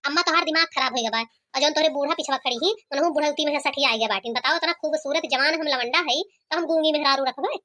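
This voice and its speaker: Woman doing a whiny young groom, awadhi village cartoon, really high bright voice, always arguing. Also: fast, hardly any pauses. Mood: exasperated. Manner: complaining, argumentative. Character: indignant young village groom, whining and stubborn. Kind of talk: cartoon comedy acting.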